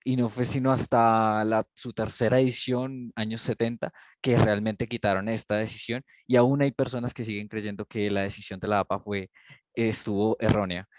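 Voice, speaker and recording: A man with a lowish voice lays out a careful, halting explanation on a video call. The audio is dry and somewhat dull. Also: level tone, no room sound. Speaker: online event attendee. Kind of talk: discussion comment in a video call.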